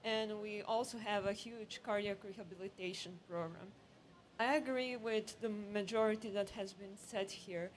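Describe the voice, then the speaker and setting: A woman's voice: medium pitch, fairly even intonation, normal speed, rough recording. A woman from Croatia with a Croatian accent, giving an earnest, emphatic comment from the floor at a conference. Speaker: audience member, hospital professional. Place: conference session, speaking from audience. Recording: live event with clear background noise.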